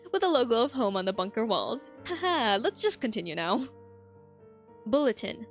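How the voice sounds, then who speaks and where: A woman narrating a scripted video analysis in a conversational way, slipping in casual asides and rhetorical questions. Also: on the high side. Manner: conversational, interjecting own reactions and rhetorical questions. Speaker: video host analysing a horror web series. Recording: voice-over with background music. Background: background music.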